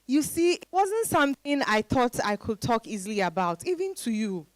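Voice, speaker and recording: A woman's voice: fairly high pitch, sing-song, normal speed, no room sound, low-quality recording. A woman performing a guarded, hesitant woman confiding in a close friend, in a dramatized dialogue reading.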